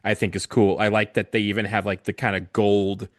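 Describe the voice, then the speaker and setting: A man's voice: on the low side, little pitch movement, brisk, no echo, studio quality. A man co-hosting a podcast, talking casually about football kits in a hesitant way with many fillers and restarts. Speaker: podcast co-host. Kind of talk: casual chat.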